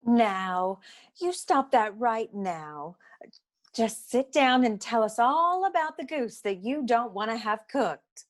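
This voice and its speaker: Woman doing a chatty bossy detective secretary, noir comedy, kinda high voice, brisk and wisecracking. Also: expressive. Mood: firm, consoling. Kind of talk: comic noir radio play acting.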